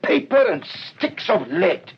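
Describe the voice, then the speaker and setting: An actor playing a proud gentleman thief in a radio drama, blustering and dramatic. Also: high voice, very animated, slow pace, creaky, full character voice. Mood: scornful, threatening. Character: proud, theatrical gentleman thief, menacing villain. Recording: dull lo-fi radio recording, faint noise.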